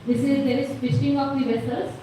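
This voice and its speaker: A woman's voice: high-pitched, flat delivery, a little fast, mellow. A woman with a South Indian accent giving a medical lecture as a teacher, asking questions and explaining the answers. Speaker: radiology lecturer. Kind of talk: medical lecture quizzing students. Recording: very echoey room, distant mic, faint noise.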